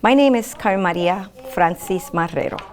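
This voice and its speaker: Older Puerto Rican-accented woman teacher, native Spanish speaker, warm and halting, interview answers. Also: mid-range voice, expressive. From Puerto Rico.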